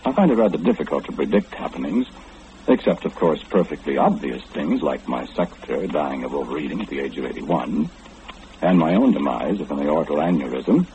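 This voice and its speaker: A man's voice: a bass voice, animated, brisk. An actor playing a smug, impatient, all-knowing businessman in an old-time radio horror play, with fussy, precise diction and a pompous manner. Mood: ominous, knowing. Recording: muffled, noisy old-time radio recording.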